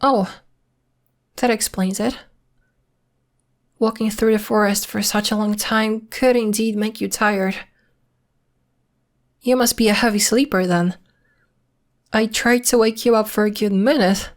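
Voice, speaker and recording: A woman playing a secretive, polite and protective young werewolf in an ASMR roleplay, speaking in a measured, hesitant, matter-of-fact way. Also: medium pitch, fairly even intonation, natural voice. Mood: concerned, mildly reproving.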